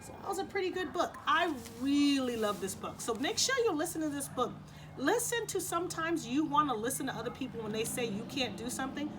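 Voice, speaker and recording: A woman storyteller reading expressively to children, pausing to explain and repeat things in simple words. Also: sits high, expressive, noisy background. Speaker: storyteller and host. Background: music.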